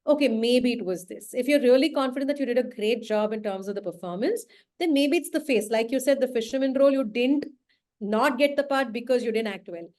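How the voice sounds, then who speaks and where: A woman's voice: on the high side, a little fast, darkish tone. A woman casting director from India, giving earnest, advising answers in a conversational interview. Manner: earnest, advising, thinks aloud. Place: indoor room.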